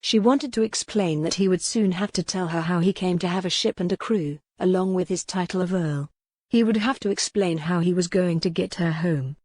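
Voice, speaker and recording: A woman's voice: lowish voice, normal speed, darkish tone, slightly rough, no room sound. A woman reading a historical romance novel as scripted audiobook narration.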